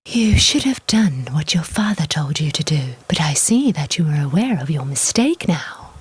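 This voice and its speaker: A woman voicing a gentle but scolding fairy guardian in a breathy, whispery tone in a children's storybook tale. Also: sits low, big pitch swings, normal speed, no room sound, pitched below the performer's own voice, heavily acted. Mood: gently scolding. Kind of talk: children's storybook character acting.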